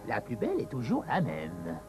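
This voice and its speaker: An actor playing a cheeky male magic mirror in a cartoon, halting, wry and theatrical. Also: medium pitch, huge pitch swings, over music. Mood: wry, mocking. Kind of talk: French-language cartoon dub acting.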